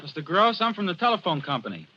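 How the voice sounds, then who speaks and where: A man's voice: high-pitched, big pitch swings, quick pace. A man acting a polite, plain-spoken telephone repairman in a 1940s radio drama. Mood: businesslike. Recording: dull, noisy vintage 1940s radio recording.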